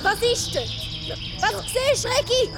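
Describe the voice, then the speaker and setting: A young medieval girl in a children's audio drama, extremely high in pitch. She is indignant and insistent, bossy and bright-minded, in Swiss German, with music clearly behind her. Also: unhurried. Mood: curious, urgent.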